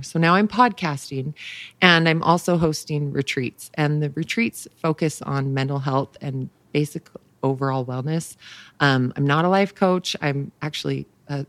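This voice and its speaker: A woman in her thirties, a podcast guest, telling stories in an animated way with lively quoted asides. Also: no echo. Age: 30s. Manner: animated storyteller, jumps into a high voice for quoted thoughts. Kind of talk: casual podcast chat.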